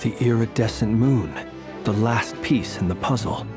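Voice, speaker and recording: A man playing a calm, formal, cautious ally in a video game. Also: on the low side, moderate pace, music in the background, natural voice. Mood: calm, grave.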